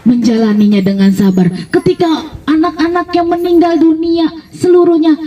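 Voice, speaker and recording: Woman acting a pious, slow-spoken old man, distant. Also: very high-pitched, warm tone, pitched above the performer's own voice. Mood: earnest, devout. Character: pious, patient old man, grateful amid grief. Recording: dull, distant microphone pickup.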